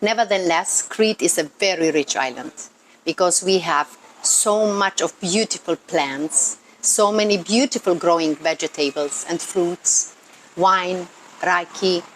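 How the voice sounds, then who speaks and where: A woman's voice: fairly low pitch, unhurried, rough recording. A woman from Croatia with a Croatian accent explains things off the cuff in English as a non-native speaker, earnest and emphatic, repeating words for stress. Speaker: local tourism worker. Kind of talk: unscripted explanatory talk to camera. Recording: noticeable ambient background noise.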